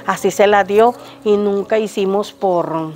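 An older woman with a Mexican Spanish accent, answering a reporter's questions outdoors on the street in front of her home. She speaks in a hesitant, halting way with frequent restarts. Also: medium pitch, a little mellow, soft background music. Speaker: interviewed resident. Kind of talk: street interview. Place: outdoors on a street by a house gate.

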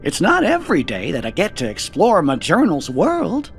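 A tiny genteel cartoon cricket. His creaky voice is wistful and polite, with very wide swoops of pitch over faint game music. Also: huge pitch swings, normal speed, exaggerated voice. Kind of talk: video game cartoon acting.

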